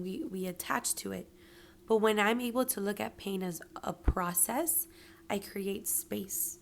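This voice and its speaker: A woman hosting her own show, talking through grief and pain in a hoarse, raspy voice, earnest and encouraging, sometimes dropping to a whisper for emphasis. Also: mid-range voice, a little hiss or hum. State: hoarse from yelling. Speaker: podcast host. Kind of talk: personal reflective monologue.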